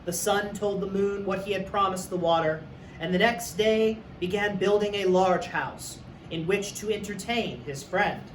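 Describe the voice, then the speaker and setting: A man's voice: sits high, normal speed. A man who works as a teacher, reading a story aloud for young students. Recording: echoey room, distant mic, faint noise.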